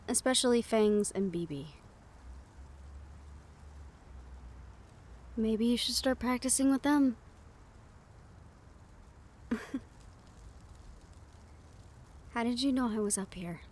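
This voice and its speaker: A woman playing a gentle, teasing but worried young siren in an audio roleplay, speaking softly and intimately to the listener. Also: medium pitch, close to an everyday voice. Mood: playful teasing.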